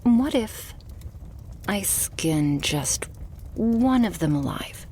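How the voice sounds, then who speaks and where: A woman playing a devoted, possessive and murderous vampire girlfriend in an intimate ASMR roleplay, slow and breathy, drifting into whispers close to the microphone. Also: mid-range voice, lively intonation, darkish tone, slight background noise. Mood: menacing, vengeful.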